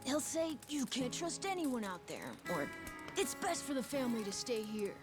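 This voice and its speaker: A young American boy in a video game. He sounds wide-eyed, eager and casual, pitched on the high side, with music clearly playing underneath. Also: big pitch swings, moderate pace. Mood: glum, restless. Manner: casual, youthful talk. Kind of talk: video game cutscene acting.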